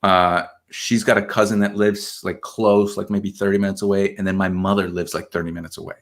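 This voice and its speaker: A man's voice: sits low, a little fast. Laid-back man from Argentina telling stories. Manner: relaxed storytelling, many fillers like "man" and "you know". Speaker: podcast guest. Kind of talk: casual conversational interview.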